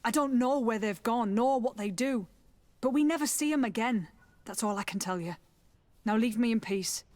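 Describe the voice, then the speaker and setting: An actress as a military training officer in a fantasy game. Her voice is on the high side and recorded very dry. She is proud and guarded, speaking with crisp formality. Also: moderate pace, darkish tone, no room sound, lightly acted. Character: guarded, proud female lieutenant training recruits. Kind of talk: video game dialogue acting.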